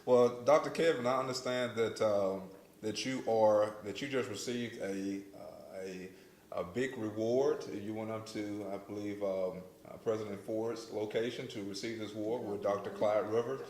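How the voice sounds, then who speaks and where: A man hosting a casual church interview, enthusiastic and quick with "wow" and "awesome". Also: lowish voice, steady tone, a little mellow, reverberant. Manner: enthusiastic, says wow often. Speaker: host.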